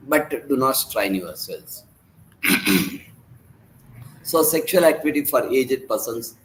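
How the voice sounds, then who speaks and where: A hoarse man gives a medical lecture over a video call, his low voice pausing often on fillers and restarts. A faint hiss of noise sits under the talk. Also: poor audio. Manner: halting, many fillers and restarts. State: hoarse from laryngitis. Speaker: doctor giving a lecture.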